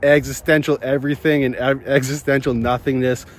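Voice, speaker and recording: A man's voice: mid-range voice, little pitch movement, fairly quick. A young man chatting casually and slangily outdoors, rambling and musing in a laid-back way. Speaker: vlogger snowboarding at a ski hill. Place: outdoors on a ski slope and chairlift. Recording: clear outdoor ambient noise on ski hill.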